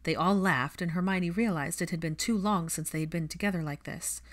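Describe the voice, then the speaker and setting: Woman, lowish pitch, audiobook narrator, scripted fiction reading. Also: fairly quick.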